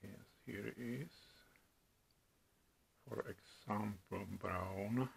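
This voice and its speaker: A middle-aged man from the Czech Republic with a Czech accent, demonstrating products at home in a slow, halting way with many hesitations. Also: lowish voice, vocal fry, husky. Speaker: product reviewer. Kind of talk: casual product demo. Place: home room at a table.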